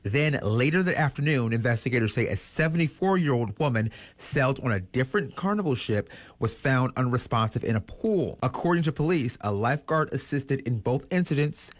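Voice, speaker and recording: A male news reporter reading a report in a measured, formal broadcast style. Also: mid-range voice, animated, dry recording.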